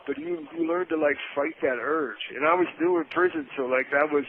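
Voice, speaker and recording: A man's voice: fairly high pitch, brisk. Man on a noisy, tinny phone line. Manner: informal, matter-of-fact, frequent swearing. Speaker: podcast co-host calling from prison. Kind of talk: casual first-person storytelling. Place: inside a prison, on a phone call. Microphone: telephone handset.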